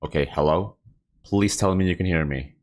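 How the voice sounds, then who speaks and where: A man's voice: sits low, moderate pace, a little mellow. A man hosting a casual, improvised livestream, talking in an informal, rambling and slightly scattered way. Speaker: live streamer and host.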